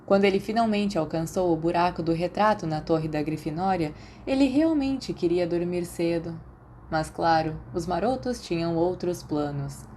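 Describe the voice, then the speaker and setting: A woman's voice: fairly low pitch, lively intonation, moderate pace, a little mellow. A woman with a Brazilian accent reading scripted fiction as an audiobook narrator. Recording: faint background noise.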